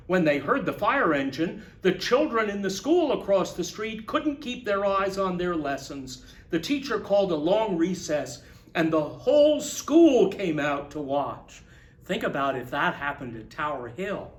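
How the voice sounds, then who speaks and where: A man reading a children's picture book aloud to young listeners in an expressive, emphatic storyteller's manner. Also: sits high, measured pace, echoey room. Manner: expressive, emphatic, explains words in asides.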